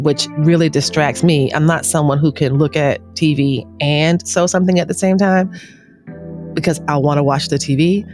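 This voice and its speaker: A woman hosting a podcast, introducing in a conversational way. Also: low-pitched. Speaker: podcast host.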